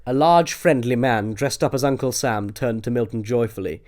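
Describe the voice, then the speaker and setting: A man's voice: mid-range voice, normal speed, a little mellow. A man reading a scripted comic audiobook as narrator.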